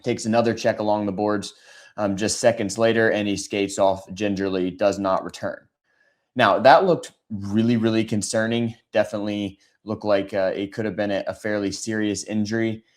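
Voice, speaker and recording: A man with a raspy, hoarse voice hosts a sports podcast, talking through the news in a casual, conversational way with frequent ums. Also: on the low side, steady tone, normal speed. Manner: conversational, many filler ums. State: hoarse from shouting.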